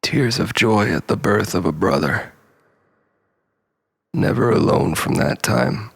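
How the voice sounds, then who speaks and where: Man acting a slow, gravelly, grieving brother. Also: on the low side, animated, full character voice. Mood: grieving, wistful. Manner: slow, brooding, poetic. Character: mournful man haunted by his dead brother. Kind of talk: scripted dramatic monologue.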